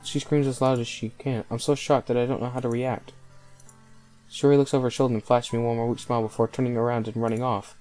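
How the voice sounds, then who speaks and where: A man's voice: medium pitch, little pitch movement, fast, darkish tone, faint music behind. A man reading visual novel text aloud as he hosts a playthrough. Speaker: let's play host.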